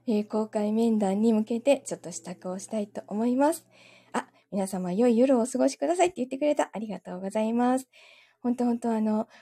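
Woman chatting on a home livestream, higher pitch, giggles a lot, keeps thanking viewers. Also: mellow, husky. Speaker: livestream host. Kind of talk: casual chat with live viewers.

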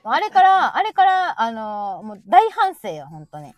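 Animated, high-pitched woman with Kansai accent. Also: unhurried, dry recording, highs cut off. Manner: chatty, animated storytelling. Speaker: live audio stream host. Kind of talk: casual chat.

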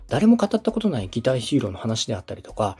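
Husky, animated man coaching politely. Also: mid-range voice, racing. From Osaka, Japan. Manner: polite, explanatory, step-by-step. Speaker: podcast host and radio director giving advice. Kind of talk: conversational coaching.

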